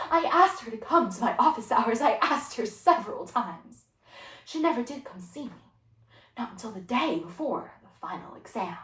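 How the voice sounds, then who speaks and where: Roomy sound, a woman acting an exasperated female teacher, measured, stern, earnest, restrained, stage monologue. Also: a little high, a bit bright, recorded from a distance. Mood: regretful. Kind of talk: solo dramatic interpretation performance.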